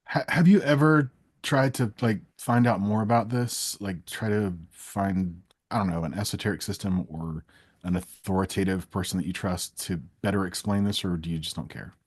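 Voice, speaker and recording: Low, slightly husky male interviewer, dry sound. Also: steady tone, normal speed, no echo. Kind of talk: casual, thoughtful interview conversation.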